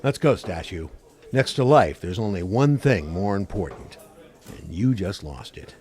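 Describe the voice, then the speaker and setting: A man's voice: sits low, lively intonation, measured pace, darkish tone. A man playing a tough, unyielding homicide cop with a wry streak in a radio play, blunt and authoritative.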